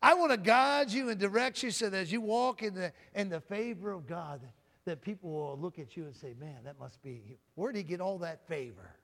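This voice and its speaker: A man preaching a sermon with a loud voice, often calling out "Amen?" and swinging from booming to soft. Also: animated, normal speed. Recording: long hall reverb.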